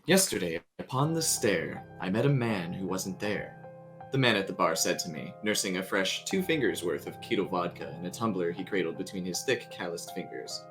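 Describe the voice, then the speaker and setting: A man's voice: sits low, animated, normal speed. A man reading a creepy story aloud as its narrator, with music playing underneath. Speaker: story reader.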